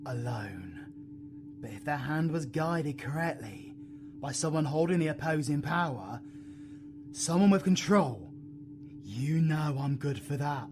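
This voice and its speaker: Man acting brooding British supervillain, higher-pitched voice. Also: animated, measured pace, pauses often, music in the background. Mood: urgent, defiant. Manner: intense, swearing, slangy. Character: brooding, foul-mouthed male dark-magic supervillain with fierce resolve. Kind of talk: dramatic audio roleplay acting.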